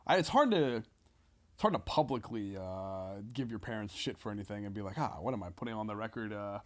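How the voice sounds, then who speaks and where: A man's voice: lowish voice, animated, a little fast. A man chatting casually on a comedy podcast, hesitant and rambling, often backtracking on what he says.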